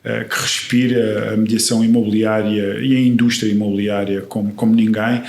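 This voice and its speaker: A man's voice: lowish voice, little pitch movement, brisk, gravelly, darkish tone, reverberant. A man hosting a podcast interview, asking questions and encouraging his guest with short affirmations. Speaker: podcast host.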